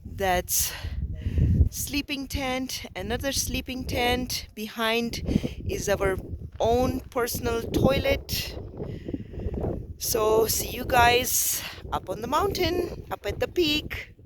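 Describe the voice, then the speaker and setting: A hoarse woman narrating her mountain climb in a slow, breathless, casual way, filming outdoors on a handheld camera. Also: on the high side, background noise. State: hoarse, lost voice. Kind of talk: casual travel vlog narration.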